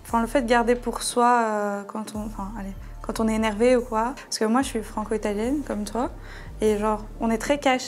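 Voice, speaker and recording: Young woman, higher voice, chatting frankly. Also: fairly even intonation, moderate pace, soft background music. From Belgium, small town. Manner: informal, slangy, frank. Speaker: student panelist in a discussion. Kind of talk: casual group discussion.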